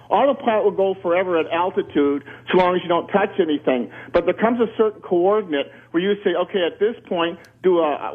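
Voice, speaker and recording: A man calling in to a radio show, explaining aviation in a plainspoken, jokey way with anecdotes. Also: sits high. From Canada. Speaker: radio show caller, former air traffic controller. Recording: muffled band-limited radio phone-in call.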